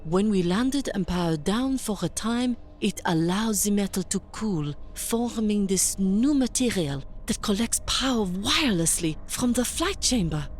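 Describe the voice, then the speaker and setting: A woman's voice: mid-range voice, animated, measured pace, few pauses, lightly acted. A woman playing an inquisitive scientist in an audio drama, explaining things in a methodical, formal manner. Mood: analytical, curious.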